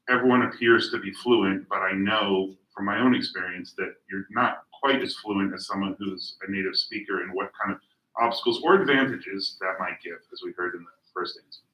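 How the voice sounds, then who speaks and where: A man's voice: fairly low pitch, sharp tone. A man moderating a panel, asking long, hedged, rambling questions. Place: event room at a literary gathering. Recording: echoey room.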